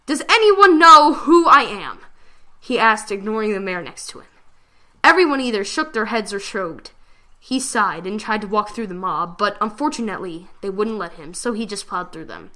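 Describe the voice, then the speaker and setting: A woman giving a dramatic reading of a fan fiction story, with expressive delivery. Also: medium pitch, moderate pace.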